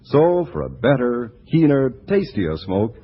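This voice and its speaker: A man's voice: medium pitch, very animated, unhurried, husky. An American man reading a scripted commercial in 1940s radio announcer style. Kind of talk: 1940s radio advertising read. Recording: dull 1940s radio recording.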